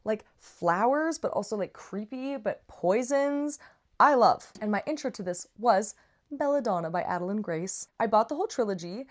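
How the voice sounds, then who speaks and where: A woman's voice: mid-range voice, expressive, moderate pace. A woman giving a casual, chatty book review with slangy asides and playful, dramatic emphasis. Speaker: book reviewer, vlog host.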